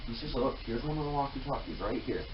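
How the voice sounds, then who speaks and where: A man's voice: medium pitch, lively intonation, fast. A young male speaker chatting casually with family at home in a homemade prank video. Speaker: prankster older brother filming a home video. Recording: muffled, very noisy home camera audio.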